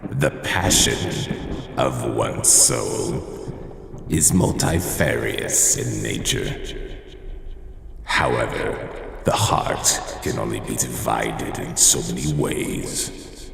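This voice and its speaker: Cavernous, extremely deep sinister horror host. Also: male voice, takes it slowly, gravelly, fairly bright tone, heavily acted. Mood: ominous, grand. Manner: ominous, theatrical, grandiloquent. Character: sinister, grandiose male horror anthology host. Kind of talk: horror radio show narration. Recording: echoey long reverb, faint noise.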